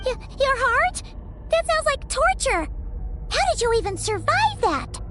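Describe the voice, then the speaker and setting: An actress voicing a tiny, excitable fairy-like companion in a cartoonish video game style, squeaky and exclaiming. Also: female voice, measured pace, heavy background noise, exaggerated voice. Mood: shocked.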